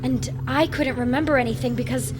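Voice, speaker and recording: A woman playing an earnest young survivor in an audio drama, speaking in urgent, halting, pleading phrases. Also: high-pitched, steady tone, background noise, lightly acted. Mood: insistent. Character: Alex, earnest, insistent young woman haunted by buried memories. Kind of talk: scripted audio drama acting. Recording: music bed under the voice.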